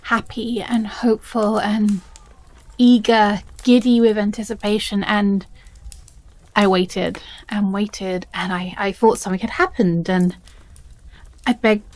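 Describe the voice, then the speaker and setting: A woman with a British accent playing an obsessive, possessive, unstable stepsister in a dramatic audio roleplay, speaking haltingly with heavy pauses. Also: medium pitch, steady tone, darkish tone, natural voice. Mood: anguished, unhinged.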